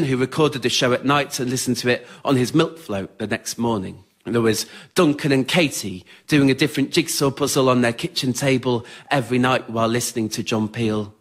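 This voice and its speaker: A young man with an English accent performs scripted autobiographical stories on stage in a wry, understated, measured way. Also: mid-range voice. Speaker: writer-performer of a solo spoken-word show. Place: radio theatre in front of a live audience.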